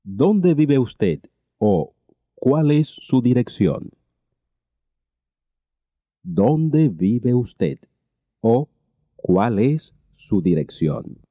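A man teaching a language lesson, reading each phrase slowly and repeating it twice. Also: mid-range voice, big pitch swings, mellow, no echo. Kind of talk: slow repeated translation drills.

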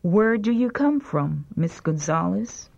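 A woman reading short prompt sentences slowly and clearly for a language drill. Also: on the low side, expressive, darkish tone, muffled recording. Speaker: language lesson reader. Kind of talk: slow repeat-after-me language drill.

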